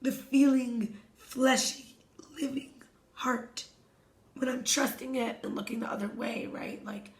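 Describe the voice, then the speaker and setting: Hoarse lady, kinda high voice, soft whispery self-help talk, echoey room. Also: steady tone, a little slow. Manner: soft and meditative, often drops to a whisper. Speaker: wellness coach.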